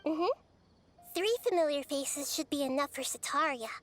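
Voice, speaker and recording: A small girl deity in a video game, with a high, gentle voice. She sounds wise and measured, thinking each plan through calmly as music plays behind her. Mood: calm, analytical. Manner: measured, thoughtful, explanatory. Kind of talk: video game character dialogue.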